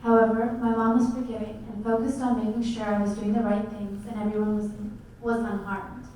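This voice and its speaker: A woman's voice: on the high side, flat delivery, a little fast, dark tone, slight background noise. A teenage girl reading her essay aloud steadily. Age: teens. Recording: echoey room from far off.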